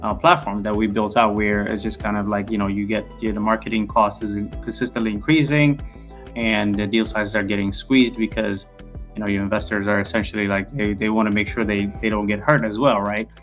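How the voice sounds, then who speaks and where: Low-voiced man rambling with fillers. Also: flat delivery, a little fast, fairly bright tone, soft background music. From Ethiopia. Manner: rambling, many fillers and restarts. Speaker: podcast guest, real estate founder. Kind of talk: casual interview answers.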